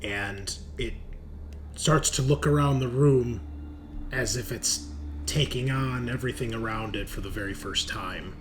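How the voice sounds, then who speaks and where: Slow-paced man narrating a tabletop game. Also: mid-range voice. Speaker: game master. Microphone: online group call. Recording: clear background noise.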